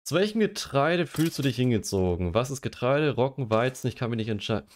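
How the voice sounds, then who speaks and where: A man's voice: medium pitch, animated, a little fast, slight background noise. A young man streaming a game, chatting casually and joking while he reads quiz questions aloud and muses over his answers. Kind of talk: casual livestream chat while gaming.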